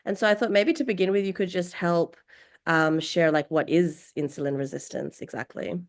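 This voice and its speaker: A woman with a fairly low voice, hosting a relaxed conversation over a video call. She sounds encouraging and friendly, and often drops Arabic phrases into her English. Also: normal speed. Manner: warm, encouraging, uses Arabic phrases like inshallah. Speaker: host. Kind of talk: casual interview talk.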